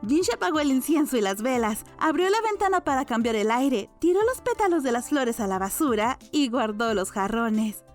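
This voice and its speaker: A woman narrates a novel as an audiobook storyteller. Her voice sits fairly high, reading scripted prose over soft background music. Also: expressive, normal speed, hardly any pauses.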